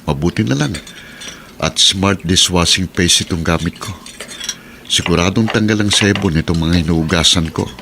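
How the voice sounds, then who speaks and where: Weary nagging husband, Filipino accent, low pitch, grumbling, radio drama, slight background noise. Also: male voice, normal speed, gravelly, bright, forceful tone, exaggerated voice. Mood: exasperated. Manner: grumbling, reproachful. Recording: noisy radio drama recording.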